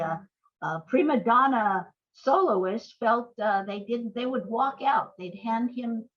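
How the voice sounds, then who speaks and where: An older woman talking as an interview guest, telling stories conversationally in halting, reflective phrases. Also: mid-range voice, lo-fi sound. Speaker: playwright and screenwriter guest.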